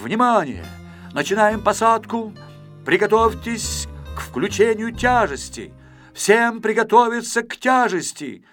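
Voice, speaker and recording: A small, authoritative rocket captain from a storybook, acted by a man. His announcements are high, loud and clipped, delivered as brisk commands. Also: big pitch swings, faint music behind, full character voice. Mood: commanding.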